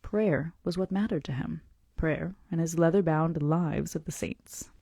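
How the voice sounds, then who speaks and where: A woman reading the part of a calm, melancholy male vampire who tells of his past in a gentle, measured, confidential way. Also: low voice, creaky, husky, dry recording, clean studio sound, heavily acted. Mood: wistful, reflective. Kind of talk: audiobook-style character reading.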